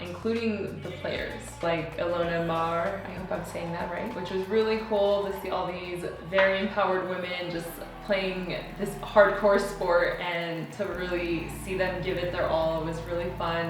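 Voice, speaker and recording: Chatty woman, echoey room. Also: on the low side. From United States. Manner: rambling, heavy use of "like". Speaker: travel vlogger. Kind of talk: casual vlog chat. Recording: echoey room with music behind. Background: background music.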